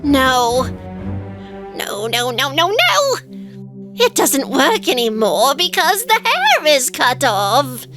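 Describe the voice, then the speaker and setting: Casting: scheming, vengeful cartoon witch, theatrical, very high pitch, swooping intonation. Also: huge pitch swings, slow pace, music in the background, heavily acted. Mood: anguished. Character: evil witch, greedy for gold, vengeful and scheming. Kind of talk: animated fairy tale character acting.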